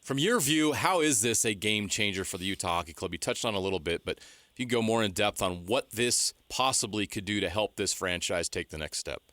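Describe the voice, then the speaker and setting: A man's voice: lowish voice, lively intonation, brisk, sharp tone, dry recording. An American man co-hosting sports radio, putting conversational interview questions to a guest. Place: radio studio.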